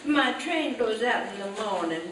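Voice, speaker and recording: Flirty southern belle beauty queen, slow drawl, echoey stage, kinda far. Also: fairly high pitch, expressive, voice pushed down. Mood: flirtatious. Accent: American Southern, put on. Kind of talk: live old-time radio comedy acting. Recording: echoey hall from far off, noisy.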